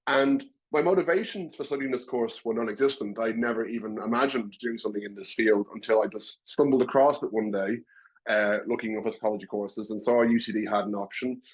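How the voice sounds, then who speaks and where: A man's voice: lowish voice, brisk, breathy edge, rough recording. A young man with a Dublin Irish accent, speaking earnestly as a current student in a webinar. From Ireland. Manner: earnest, wordy, self-deprecating. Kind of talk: webinar speech.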